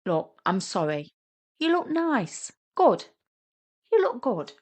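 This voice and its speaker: A teasing younger sister, played by a woman narrator in a romantic comedy audio story. She is sarcastic and immature. She bickers in an excitable, mocking way. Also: medium pitch, takes it slowly, pitched above the performer's own voice. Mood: apologetic.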